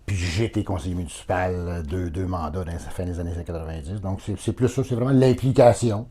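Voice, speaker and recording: A man's voice: low voice, fairly quick, warm tone, breathy edge. A man in his fifties with a Québécois accent, a town mayor talking casually and plainly as an interview guest. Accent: Québécois French. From Quebec. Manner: plain-spoken, folksy, with frequent fillers.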